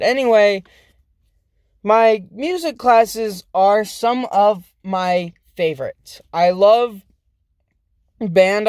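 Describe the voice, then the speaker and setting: A young man rambling in a casual vlog from inside a car, halting and self-deprecating, with many restarts. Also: sits high, a little mellow, clean tone, no room sound, studio quality. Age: young adult. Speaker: vlogger. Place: inside a parked car, daytime. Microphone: phone camera held close.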